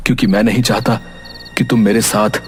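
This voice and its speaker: A man playing a shrewd, soft-spoken village husband who explains himself earnestly in a cartoon moral story. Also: on the low side, level tone, a little fast, faint music behind, natural voice. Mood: earnest, persuasive. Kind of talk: animated Hindi moral story acting.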